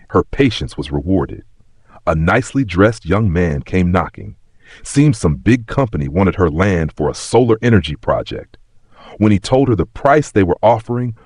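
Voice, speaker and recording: A man's voice: sits low, breathy edge, no room sound, studio quality. A man narrating a scripted sentimental story in a dramatic, folksy storytelling manner.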